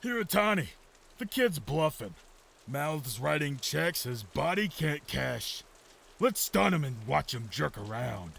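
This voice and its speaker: A man's voice: a little high, big pitch swings, measured pace, vocal fry, fairly bright tone, husky, full character voice. A man playing a hot-headed, jeering, jumpy thug henchman in an audio manga, with music behind. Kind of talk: audio manga dramatic acting.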